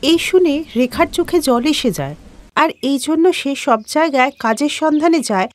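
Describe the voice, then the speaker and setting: A woman's voice: high voice, expressive, normal speed. A woman giving scripted storytelling narration as the narrator of a tale.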